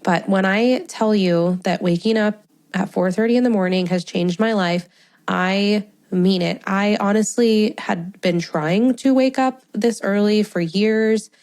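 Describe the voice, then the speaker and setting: A woman's voice: mid-range voice, a little slow, a little mellow. A woman in her thirties hosting a solo podcast, talking in a chatty, friendly way with a congested, stuffy voice and frequent laughs. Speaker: podcast host.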